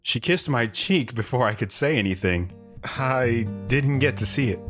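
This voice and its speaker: A man playing a shy, hesitant wanderer who narrates his own story in an audio drama, reflective in tone, with soft guitar underneath. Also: mid-range voice, lively intonation, moderate pace, natural voice. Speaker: narrator and guest.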